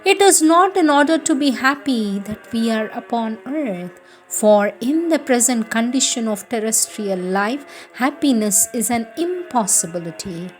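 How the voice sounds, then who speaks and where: Slow, measured woman reading devotional text. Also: fairly high pitch, animated, faint music behind, rough recording. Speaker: reader. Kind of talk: devotional reading of spiritual letters.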